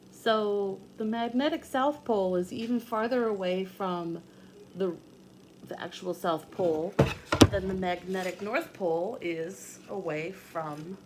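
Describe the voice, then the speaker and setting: A woman's voice: mid-range voice, noisy background. A woman teacher reading aloud to young children, explaining things patiently in simple words with repetition and questions. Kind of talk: read-aloud lesson with explanatory asides for children.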